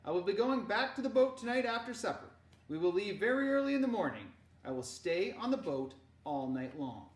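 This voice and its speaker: A hearty, genial storybook fisherman uncle acted out by a woman, speaking up and booming. The pitch sits raised and lively, and the room echoes around it. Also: a little fast, natural voice. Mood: purposeful. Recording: roomy echo.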